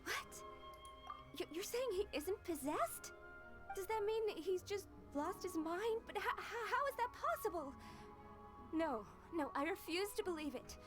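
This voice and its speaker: An anxious young disciple in a dramatic game. Her voice is extremely high, agitated and stammering, stubborn in its disbelief. Music is clearly heard behind her. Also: expressive, unhurried. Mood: distressed.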